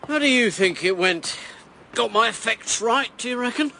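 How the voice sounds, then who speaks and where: An actor playing a brash, cynical war hero turned election candidate in a radio drama, British, with a blunt, punchy delivery. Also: male voice, extremely high pitch, lively intonation, normal speed, gravelly, bright, forceful tone, slight background noise, exaggerated voice.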